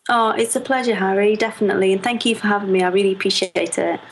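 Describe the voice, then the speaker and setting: A woman from Lancashire speaks with a Lancashire accent as a podcast guest. She talks bluntly and directly, with frequent laughs. Her voice sits at an ordinary middle pitch. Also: fairly even intonation, fast, gravelly, a little hiss or hum, rough recording. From Lancashire, England. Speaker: knitting podcast host, guest. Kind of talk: casual interview chat.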